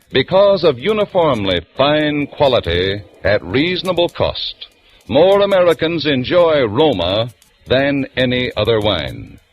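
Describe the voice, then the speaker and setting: A male radio announcer reading a commercial in a smooth, persuasive cadence. His voice is lively, with a wide, swinging pitch, and faint music plays underneath. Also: dull audio. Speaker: sponsor announcer.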